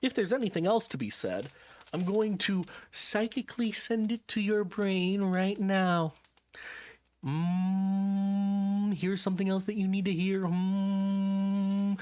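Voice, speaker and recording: A man chatting casually to his stream audience, rambling and correcting himself with wry asides, tired and a little jet-lagged. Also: sits high. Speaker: vtuber streamer.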